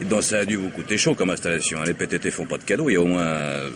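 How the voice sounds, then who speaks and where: An actor playing a cynical, mocking vagabond in a vintage radio drama, with a wry, laughing way of talking. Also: male voice, sits low, brisk, a little hiss or hum, natural voice. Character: sardonic cynical male vagabond tramp.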